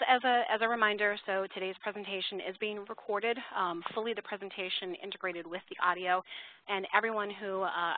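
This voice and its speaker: A woman's voice: medium pitch, dry recording. A woman presenting a webinar in an informal, explanatory way, thinking aloud as she takes questions. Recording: tinny, dry phone-line audio.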